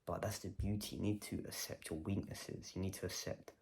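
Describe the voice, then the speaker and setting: A man's voice: low-pitched, fairly even intonation, fairly quick, vocal fry, a little mellow. A man vlogging a personal video diary, talking in a reflective, rambling way and questioning himself as he goes.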